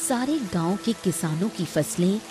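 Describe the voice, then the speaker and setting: A woman's voice: medium pitch, expressive, measured pace. A woman narrating a scripted children's story.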